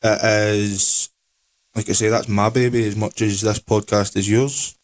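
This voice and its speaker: A Scottish man in his late twenties co-hosting a podcast in a relaxed, rambling chat. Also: lowish voice, unhurried, no room sound. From Scotland. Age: 20s. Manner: relaxed, rambling, with Scottish words like aye and wee. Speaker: podcast co-host. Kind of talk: casual chat.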